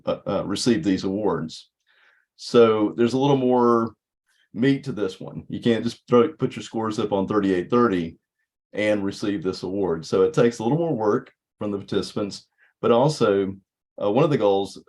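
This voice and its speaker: A man informally explaining something in an online meeting, in halting, hesitant phrases. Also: fairly low pitch, a little mellow. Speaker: contest organizing group member.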